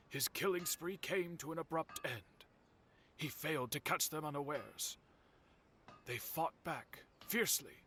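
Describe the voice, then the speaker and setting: An actor playing a solemn, courteous male storyteller in a video game, speaking in formal, measured phrases. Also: on the high side, sharp tone, a little hiss or hum. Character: solemn, courteous storyteller recounting old family tragedy.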